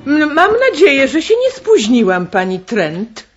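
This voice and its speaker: An actress playing a stout, middle-aged spirit medium in a radio play, loud, common and self-important. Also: female voice, high voice, sing-song, a little slow, lo-fi sound, soft background music. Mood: gushing, fretful. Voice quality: husky.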